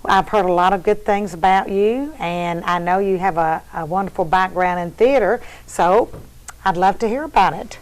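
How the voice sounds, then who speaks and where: A woman hosting a local TV interview, admiring and halting, with many fillers. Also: medium pitch, rough recording. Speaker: TV interview host.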